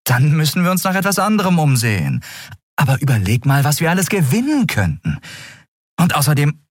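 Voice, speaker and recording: Guy doing a bold stubborn adventurer woman, high voice, lively, pushy and persuasive, audiobook style. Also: brisk, no echo, clean studio sound. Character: bold, stubborn young woman, adventurous thief and ship owner.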